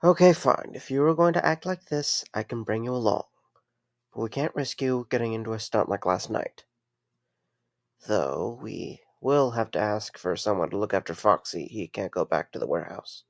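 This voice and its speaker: A man's voice: fairly low pitch, normal speed, creaky, dry recording, studio quality. A man playing a sarcastic, easygoing young night guard in a conversational audio drama. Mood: resigned, exasperated. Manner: wry, conversational.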